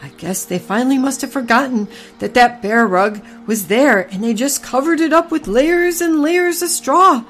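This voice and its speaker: A woman's voice: high voice, lively intonation, pitched above the performer's own voice. A woman voicing a kindly old cow who tells stories to the barn animals. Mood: reminiscing. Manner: gentle, unhurried storyteller. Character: old motherly cow, female, fond of tales.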